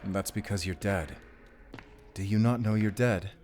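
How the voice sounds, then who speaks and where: An actor playing a weary, guarded werewolf hunter in an audio drama, terse, stern and defiant. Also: male voice, on the low side, moderate pace, a little mellow, soft background music, close to an everyday voice. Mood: guarded, grim. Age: 30s.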